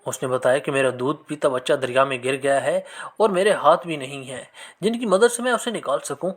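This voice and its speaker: A man narrating scripted religious moral stories in an expressive, measured storytelling manner. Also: a little high.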